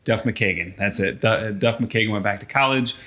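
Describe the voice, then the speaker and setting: A man's voice: lowish voice, brisk, bright, forceful tone. A man in his thirties chatting casually as a podcast co-host. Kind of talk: casual chat.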